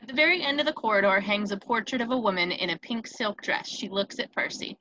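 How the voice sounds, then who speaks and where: A woman's voice: medium pitch, lively intonation, fast talker, pushed and bright, dull audio, slight background noise. A woman narrator reading out scripted screen directions for a table read.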